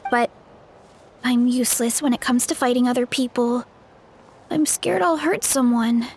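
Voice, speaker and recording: High-voiced, sweet young woman athlete. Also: fairly even intonation, measured pace, a little hiss or hum. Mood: self-doubting. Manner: earnest, polite, slightly hesitant. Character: sweet, modest, self-doubting young female athlete in training. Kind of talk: English video game voice acting.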